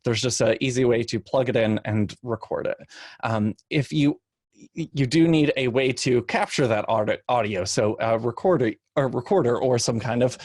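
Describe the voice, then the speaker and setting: A man giving an informal instructional panel talk in a measured, explanatory way, speaking into a microphone in front of him. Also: medium pitch, a little mellow, dry recording, studio quality. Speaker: podcast production expert on a panel. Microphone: standard microphone in front of his face.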